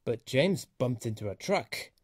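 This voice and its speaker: Animated man reading a children's story. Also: mid-range voice, unhurried, dry recording, clean studio sound. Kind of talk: read-aloud children's story narration.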